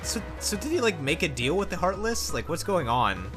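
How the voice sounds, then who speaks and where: A man's voice: fairly high pitch, sing-song, moderate pace. A man giving wry, teasing co-commentary in casual banter over gameplay. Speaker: let's play co-commentator. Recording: game music behind the voice. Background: video game music.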